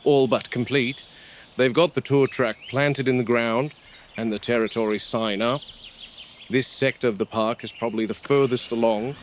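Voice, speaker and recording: A man playing a grave, observant game warden, narrating a scripted field log in a measured, dry, reflective way. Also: mid-range voice, steady tone, slight background noise, natural voice. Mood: dry, matter-of-fact.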